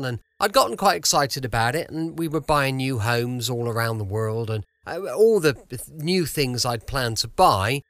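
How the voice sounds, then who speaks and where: A man's voice: medium pitch, a bit bright, no echo, clean studio sound. A man with a British accent chatting informally as he introduces his podcast. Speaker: podcast host.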